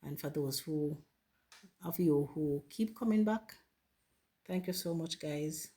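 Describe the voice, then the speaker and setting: A Jamaican woman hosting her gardening channel, chatting casually with viewers while planting, hoarse from a flu and often calling them guys. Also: deep voice, slow pace. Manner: warm, grateful, addresses viewers as guys. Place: home garden.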